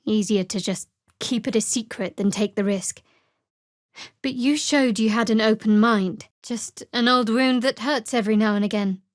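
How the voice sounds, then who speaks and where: A woman playing a guarded, wry young cleric of a dark goddess in a video game, with a dry, sardonic and measured delivery. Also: fairly high pitch, no echo, close to an everyday voice. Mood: guarded, earnest. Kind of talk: video game character dialogue.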